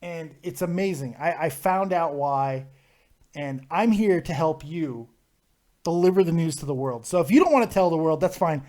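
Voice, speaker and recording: Male stream host, high voice, deadpan roasting, casual banter. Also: normal speed. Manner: teasing, mock-serious roasting. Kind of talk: casual joking chat.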